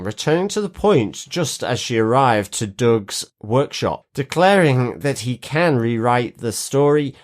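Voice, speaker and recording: Man co-hosting a TV recap podcast. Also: mid-range voice. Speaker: podcast co-host.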